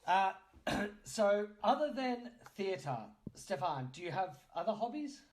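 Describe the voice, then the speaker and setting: A man's voice: high-pitched, very animated, measured pace, a little hiss or hum. A man hosting a podcast in a casual, chatty interview style, often interjecting and teasing his guests. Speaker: podcast host.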